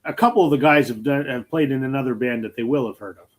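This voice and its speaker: A man's voice: medium pitch, fast. A man co-hosting, casually prompting his partner to explain things for listeners, heard from a mic some way off. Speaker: co-host. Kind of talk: casual chat.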